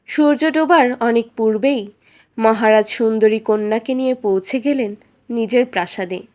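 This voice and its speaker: A woman's voice: fairly high pitch, normal speed, darkish tone. A woman reading a scripted fairy tale as the storyteller of a cartoon.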